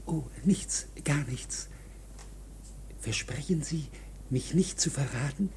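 Furtive, pleading, soft-toned obsessive client. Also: male voice, on the high side, takes it slowly, slight background noise. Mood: furtive, anxious. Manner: furtive, pleading, often breathy whispering. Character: timid, obsessive male long-time client, secretive and servile. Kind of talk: radio drama acting.

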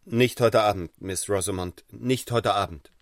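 An austere young missionary clergyman, played by a male actor for a radio play. His voice is fairly low and tightly controlled, with formal, deliberate phrasing and fervour held in check. The sound is very dry. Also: no echo, lightly acted. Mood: restrained. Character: austere, fervent young clergyman, stern and self-restrained. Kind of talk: radio drama acting.

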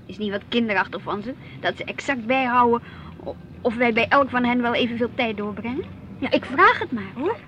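A woman's voice: a little high, expressive, fairly quick, fairly bright tone, dull audio. An actress playing an inquisitive, earnest wife and mother in a naturalistic radio play, with a Netherlands Dutch accent. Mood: questioning. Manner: questioning, earnest. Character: inquisitive, reflective wife and mother, easily piqued at her husband. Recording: noisy outdoor street ambience with traffic.

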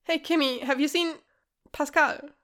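A woman voicing a worried young father, calling out loudly and urgently. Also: high-pitched, steady tone, unhurried, pitched above the performer's own voice. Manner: loud and urgent.

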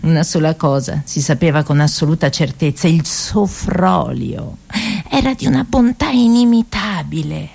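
A woman's voice: deep voice, lively intonation, normal speed, gravelly, a little mellow, slightly rough. A woman performing a tragicomic story for radio, narrating dramatically with emphatic delivery and relish for each word. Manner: dramatic, emphatic, savouring lists of words. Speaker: stage actress and narrator.

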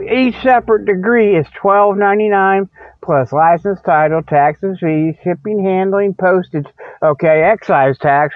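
A man's voice: high voice, dry recording. A man hosting a rambling comedic radio show, folksy and repetitive, with a habit of chuckling at his own jokes. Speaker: show host. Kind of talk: rambling comedic radio monologue with mock sponsor reads. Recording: tinny phone-like radio audio.

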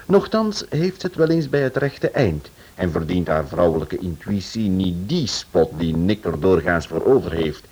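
A man's voice: sits low, sing-song, normal speed, hardly any pauses, a little mellow, slightly rough. A man, a radio director giving a scripted introduction to a drama series.

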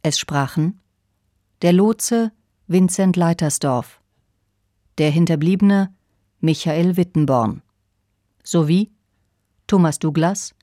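Slow, mellow low-voiced woman announcer. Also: dry recording, clean studio sound. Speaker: radio announcer. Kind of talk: scripted radio play credits.

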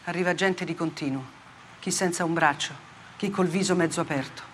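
An actress playing a grave, unflinching woman war reporter in a theatrical monologue, speaking in short, deliberate phrases with dramatic pauses. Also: on the low side, slight background noise, close to an everyday voice. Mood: somber, stark. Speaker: stage actress. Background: plucked guitar-like music.

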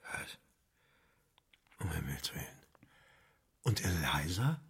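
An actor playing a shaken, halting husband in a radio drama, with a husky, breathy voice. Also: male voice, fairly low pitch, sing-song, heavily acted. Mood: horrified. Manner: halting, stunned. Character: shocked, shaken husband learning of betrayal.

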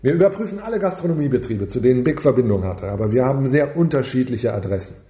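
A man playing a driven, businesslike police team leader in a radio crime drama, brisk and commanding. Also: medium pitch, animated, dark tone, natural voice. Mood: urgent, commanding. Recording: roomy echo, music underneath.

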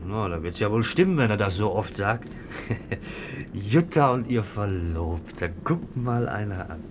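An actor playing a cocky, teasing young prodigal son in a radio play, brash and sardonic. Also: male voice, on the low side, expressive, a little slow. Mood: teasing, mocking. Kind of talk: German radio play acting. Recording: noisy old radio recording.

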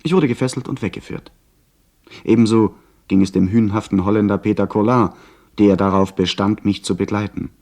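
A man as a low-voiced adventure narrator. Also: brisk, darkish tone, close to an everyday voice. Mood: grave, recounting. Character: young gentleman narrator recounting his abduction by pirates. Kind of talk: scripted dramatic narration, 1970s radio play.

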